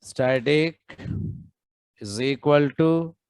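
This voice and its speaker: Slow, coaxing coding teacher. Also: male voice, a little high, a little mellow, clean tone, no echo. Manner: coaxing, repeats prompts to students. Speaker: programming instructor. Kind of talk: live online coding class.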